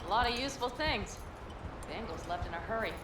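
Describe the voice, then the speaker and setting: Lively, wry tough young nomad woman, actress-played. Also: a little high, fast, very noisy, lightly acted. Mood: dry, practical. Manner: blunt, wry, takes charge. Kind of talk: cinematic video game acting.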